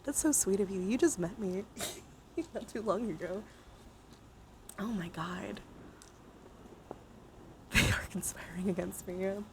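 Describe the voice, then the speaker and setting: Casting: female livestream host, mid-pitched, playful and sweary, casual chat delivery, faint noise behind. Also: a little slow, pauses often. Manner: playful, giggly, swears freely. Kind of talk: casual livestream chat with viewers during a dice game.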